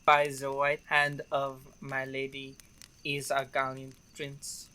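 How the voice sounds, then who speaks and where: Young man as loyal French nobleman for radio Shakespeare. Crisp-edged, level voice, courtly and a little weary. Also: mid-range voice, monotone, slow, bright, forceful tone. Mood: loyal defense. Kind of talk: radio theater Shakespeare acting.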